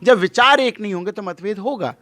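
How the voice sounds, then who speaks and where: High-pitched, emphatic male religious leader. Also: a little fast, bright, forceful tone, no room sound. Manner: emphatic, rhetorical questions and repetition. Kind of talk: impassioned interview monologue.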